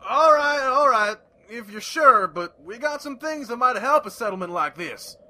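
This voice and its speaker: Guy doing pony peddler calling out wares, high and really sharp, audio drama. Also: expressive, moderate pace, exaggerated voice. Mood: hopeful, calling. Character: pony wasteland peddler hawking scrap wares.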